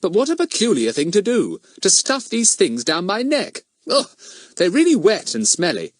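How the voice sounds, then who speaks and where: Man voicing an earnest, dignified schoolboy, raised pitch. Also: huge pitch swings, moderate pace, dry recording, studio quality, exaggerated voice. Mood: puzzled, protective. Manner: earnest, articulate. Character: plucky, dignified, well-spoken schoolboy leader.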